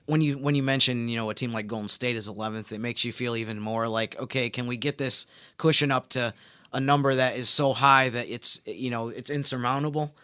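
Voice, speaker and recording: A man with a very clear, smooth voice of middling pitch. He co-hosts a casual sports show, explaining his points in an agreeable, measured way. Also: pushed and bright, dry recording, studio quality. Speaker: sports podcast co-host.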